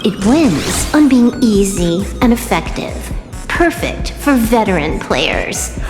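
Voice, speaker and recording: A cocky young huntress who taunts her enemy with total self-assurance. She takes her time over each line, and a long hall reverb and clear music play behind her. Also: mid-range voice, sing-song, takes it slowly, darkish tone. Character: cocky young woman hunter, taunting and scheming. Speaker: game heroine. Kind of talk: game cutscene voice acting.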